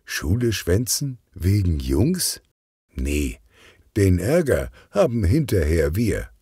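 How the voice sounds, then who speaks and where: Children's audiobook: male reader as chatty, assertive teenage girl, lively intonation, mellow tone. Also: on the low side, takes it slowly, husky, no echo, clean studio sound, pitched above the performer's own voice, heavily acted. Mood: confident, cheerful. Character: lively, self-confident thirteen-year-old girl.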